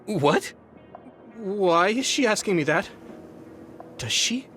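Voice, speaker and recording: An earnest young soldier for a video game cutscene. His pitch sits a little high and swings with feeling, polite and easily flustered, with music playing behind him. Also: expressive, takes it slowly, sharp tone, full character voice. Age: young adult.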